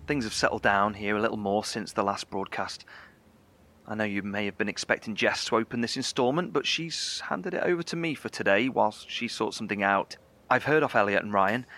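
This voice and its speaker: An actor playing a measured, articulate male scientist survivor, broadcasting explanations from a bunker in a horror audio drama. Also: on the low side, bright, forceful tone. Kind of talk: in-character scripted radio broadcast monologue.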